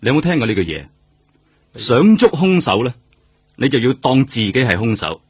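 An actor with a Hong Kong accent playing a seasoned, world-weary detective in a radio drama, speaking emphatically. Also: male voice, medium pitch, sing-song, moderate pace, slightly rough. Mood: intense, agitated. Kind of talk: Cantonese radio drama acting.

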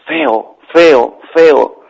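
A man's voice: a little high, drawn-out words, vocal fry, a little mellow, voice pushed up, full character voice. A mysterious cave echo from a storybook tale, performed by a man, calling single words again and again as they fade away. It comes through a dull, band-limited radio phone line. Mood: harsh echoing.

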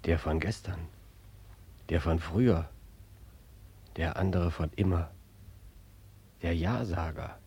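An actor playing a mysterious, persistent male inner voice, incantatory, deliberate and probing, in 1940s radio drama style. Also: low voice, mellow, slightly rough, heavily acted. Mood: mysterious, insistent.

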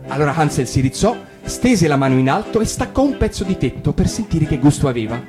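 A man reading a fairy tale aloud on the radio, expressive, sinking his voice low and quiet for the sinister parts. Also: fairly quick. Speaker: narrator. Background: guitar music.

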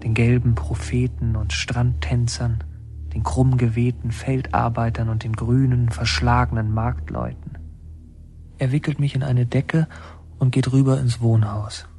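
A male actor plays a reflective, haunted narrator telling his own story in a literary radio drama. The voice is warm and dark at a middle pitch, deliberate and confiding, with an intense edge. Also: little pitch movement, faint music behind, lightly acted. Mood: hushed, confiding.

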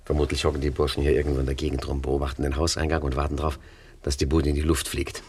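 Gangster boss, very deep, husky, commanding, wry, impatient, radio crime comedy. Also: male voice, level tone, fast, a little mellow, exaggerated voice. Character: cool, bossy, impatient male gangster boss.

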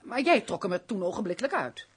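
A woman's voice: mid-range voice, sing-song, quick pace, husky. A woman playing a composed, firm, tactful young companion in a radio play, practical and controlled. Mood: probing.

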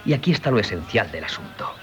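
An actor playing an earnest, polite and sickly young would-be sculptor in a Spanish radio play, with a Castilian accent and hesitant delivery. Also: male voice, fairly low pitch, big pitch swings, fast, dull audio. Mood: dreamy, intense. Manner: polite, hesitant, deferential storyteller. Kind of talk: 1970s Spanish radio theatre acting. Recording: 1970s radio drama with music.

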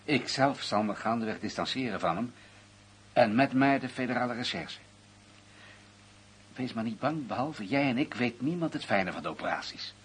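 An actor playing a guarded, bureaucratic intelligence official in a radio drama, speaking formally with a husky voice. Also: male voice, medium pitch, expressive, normal speed, a bit bright, slight background noise, natural voice. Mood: hushed reassurance. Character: guarded, bureaucratic male senior intelligence official, covering himself. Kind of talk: Dutch radio drama acting.

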